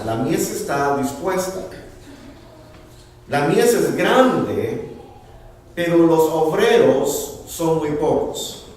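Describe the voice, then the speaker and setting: A man's voice: mid-range voice, darkish tone, a little hiss or hum. A man from Honduras with a Honduran accent, preaching a bilingual sermon and repeating words as he looks for them. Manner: switches between Spanish and English, repeats words while searching for them. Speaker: pastor. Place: church sanctuary. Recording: echoey church hall from far off.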